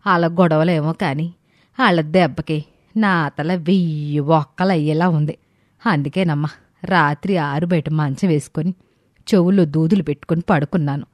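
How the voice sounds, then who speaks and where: A woman's voice: low-pitched, steady tone, moderate pace, no echo, natural voice. A woman voicing a weary, exasperated older village mother-in-law in a story, complaining in a rustic way.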